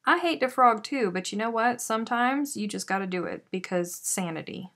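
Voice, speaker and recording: A woman's voice: on the high side, expressive, normal speed, a bit bright. A woman hosting a live crochet stream, chatting casually and self-deprecatingly as she reads out viewer comments.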